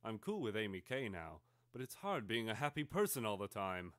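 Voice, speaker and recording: A man's voice: lowish voice, very animated, fairly quick, dry recording. Man acting out a melodramatic schoolgirl diarist. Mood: dejected, dramatic. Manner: overwrought, swinging from hushed to exclaiming. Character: melodramatic twelve-year-old schoolgirl confiding in her diary. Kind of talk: theatrical dramatic reading of a teenage diary.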